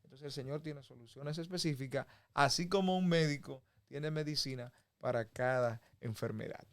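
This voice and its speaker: A man's voice: mid-range voice, moderate pace, a bit bright. A man from the Republic, teaching a Bible lesson in a folksy conversational way. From Dominican Republic. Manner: folksy, with homey examples and chuckles. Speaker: preacher.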